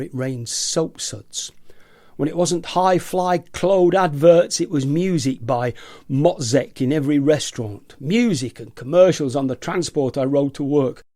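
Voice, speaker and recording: An amateur actor playing a jaded, agitated prisoner who rants about machines in a theatrical, emphatic way, as in a radio play. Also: male voice, on the high side, dark tone, natural voice. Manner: theatrical, emphatic, ranting with repetition.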